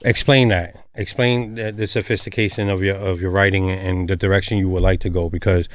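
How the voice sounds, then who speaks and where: A man with a low voice hosts a relaxed music interview. His talk is slangy and encouraging, and the recording is dry and close, with little room sound. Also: a little fast, no echo. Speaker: podcast host interviewing a musician. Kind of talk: casual interview chat.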